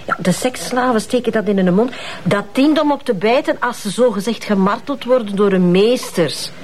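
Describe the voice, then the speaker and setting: A woman's voice: fairly high pitch, moderate pace, darkish tone, lightly acted. A woman with a Flemish accent playing an outspoken, chatty police detective in a crime drama. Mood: teasing, forthright. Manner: chatty, forthright. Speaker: actor.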